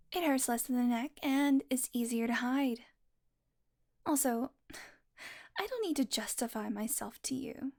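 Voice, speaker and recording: A woman's voice: on the high side, darkish tone. A woman voicing a teasing, possessive vampire who dotes on her prey, speaking intimately and smugly to the listener in an audio roleplay. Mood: coaxing, commanding. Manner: smug, flirtatious teasing with mock annoyance.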